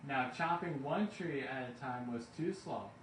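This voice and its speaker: Slow-paced man reading a bedtime story. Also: mid-range voice. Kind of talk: expressive rhymed storybook narration. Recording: roomy, distant mic, faint background noise.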